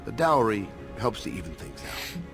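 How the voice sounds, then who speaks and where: A middle-aged elf father in a fantasy game, patient and wistful. His voice sits fairly low, with music clearly playing behind it. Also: very animated, normal speed. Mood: reasoning. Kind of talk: video game voice acting.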